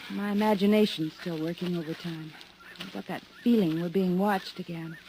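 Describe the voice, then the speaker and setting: A woman's voice: lowish voice, fairly even intonation, moderate pace, dark tone, slight background noise, natural voice, poor audio. An actress playing a nervous young woman stranded abroad in a 1950s radio drama. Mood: uneasy. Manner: anxious, calling out.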